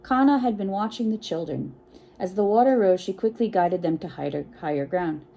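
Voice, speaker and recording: A woman reading a picture book aloud to children in an expressive, encouraging way, with chatty asides and frequent check-in questions. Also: fairly low pitch, normal speed, gravelly, poor audio. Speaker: children's storytime reader.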